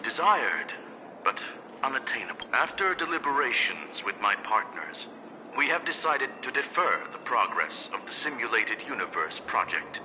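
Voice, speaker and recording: An actor voicing a courteous male robot sage who speaks in a measured, formal way, with game music behind him. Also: medium pitch, sing-song, background noise, close to an everyday voice. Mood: musing. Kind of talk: game cutscene voice acting.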